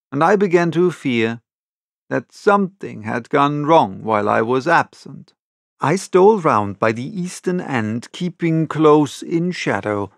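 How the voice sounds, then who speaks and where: A man reading literary first-person narration as an audiobook narrator, in a measured storytelling manner. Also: mid-range voice, vocal fry, fairly bright tone, no room sound, studio quality.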